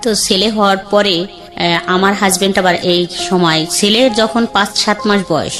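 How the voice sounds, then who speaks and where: A woman's voice: mid-range voice, steady tone, normal speed. Bangladeshi woman telling her story. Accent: Bangladeshi colloquial Bengali. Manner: plain conversational, acts out quoted dialogue. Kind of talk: candid storytelling. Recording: faint background music.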